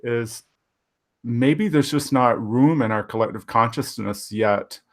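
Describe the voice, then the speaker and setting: A man in his fifties, a panel guest, speaking earnestly and thoughtfully with pauses. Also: medium pitch. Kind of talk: casual panel discussion.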